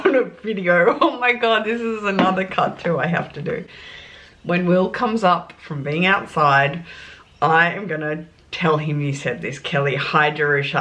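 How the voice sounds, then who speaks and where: Brief chatty Australian woman vlogger. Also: lowish voice. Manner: animated, laughs often, warm and gushing. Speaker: luxury beauty vlogger. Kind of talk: casual chatty Q&A.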